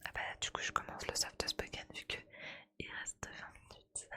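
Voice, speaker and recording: A woman's voice: a little slow, bright, forceful tone. A woman whispering breathily in a casual, playful ASMR chat, with slangy, colloquial speech as she streams to her viewers.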